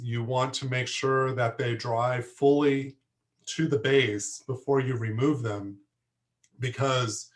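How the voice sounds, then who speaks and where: A male horticulture instructor lecturing slowly and unhurriedly at an ordinary pitch. His voice is going hoarse, and he keeps pausing to clear his throat between halting phrases full of fillers. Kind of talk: lecture to a class.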